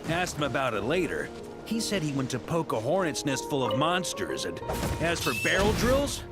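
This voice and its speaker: A man's voice: fairly high pitch, sing-song, moderate pace, loud music behind the voice. Jolly game knight captain, folksy and boisterous, loves his booze, voice swings all over. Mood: casual storytelling. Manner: jovial, folksy, clipped storytelling. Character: hearty, boisterous adult male knight commander, fond of drink. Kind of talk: video game voice acting.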